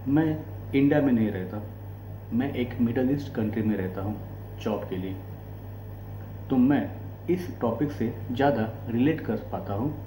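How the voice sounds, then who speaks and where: A man from India with an Indian accent, giving explanatory commentary as a host in deliberate, short broken phrases. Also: mid-range voice, dark tone. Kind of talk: explanatory political commentary to camera. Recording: echoey room, faint background noise and hum.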